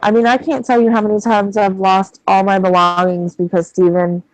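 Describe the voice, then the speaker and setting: A woman's voice: mid-range voice, little pitch movement, moderate pace, no room sound, rough recording. Woman, thirties, blunt, swears a lot, dry sound. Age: 30s. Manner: blunt, profane venting. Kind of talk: casual chat.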